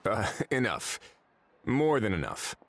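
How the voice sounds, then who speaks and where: An actor playing a composed, politely probing government official in a video game, measured and quietly authoritative. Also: male voice, lowish voice, very animated, exaggerated voice. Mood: cool, polite. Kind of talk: voice-acted game dialogue.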